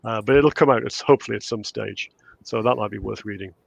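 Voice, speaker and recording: A man's voice: on the low side, quick pace, vocal fry, breathy edge. A man in his fifties with a Geordie accent, a guest author chatting in an interview and hesitating with lots of fillers. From England. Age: 50s. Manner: hesitant, many fillers and restarts. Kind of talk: casual interview chat.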